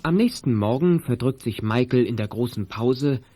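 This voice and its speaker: A man reading scripted narration for a children's detective audio play, as a calm storyteller. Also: medium pitch, normal speed, over music, lightly acted. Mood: measured.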